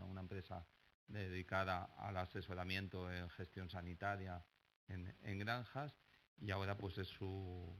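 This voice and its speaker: A man in his forties, a moderator introducing a speaker, halting and repeating words as he searches for them, at a panel desk mic. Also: sits low, slight background noise, low-quality recording. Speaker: session moderator. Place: large university lecture hall. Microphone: table microphone at a panel desk.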